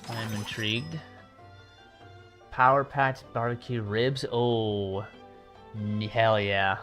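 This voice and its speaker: A man hosting a livestream, giving casual, low-key commentary on a video game in short reactions. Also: fairly even intonation, slow pace, a bit bright. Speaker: livestream host. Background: game music.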